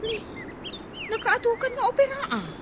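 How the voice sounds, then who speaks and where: A woman playing an excitable, exasperated middle-aged unmarried aunt in a radio comedy drama, with an Ilocano accent and exclamatory delivery. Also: very high-pitched, moderate pace. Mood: chatty, doubtful. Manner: exclamatory, expressive. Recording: very noisy audio with birds and traffic.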